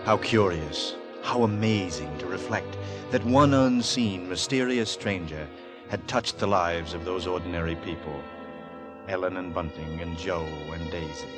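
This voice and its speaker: An ominous storyteller in a vintage radio horror play. His voice is low and brooding, reading scripted narration over prominent music. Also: a little slow. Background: dramatic music.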